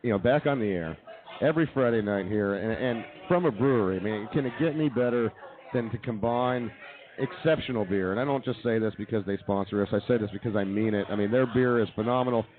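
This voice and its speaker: An American man hosts casual comedy radio, talking quickly in a mock-confessional, wordy way. His voice comes through tinny, like a phone line. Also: lowish voice, a crowd in the background. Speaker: comedy radio show host. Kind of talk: casual improvised comedy banter. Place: live remote broadcast at a brewery.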